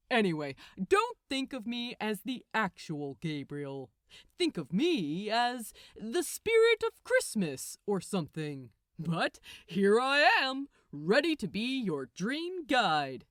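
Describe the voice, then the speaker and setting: A woman's voice: medium pitch, a bit bright, dry recording, clean studio sound, exaggerated voice. A woman voicing a smug, impatient male angel with a brash, sarcastic, exasperated manner in a storybook reading.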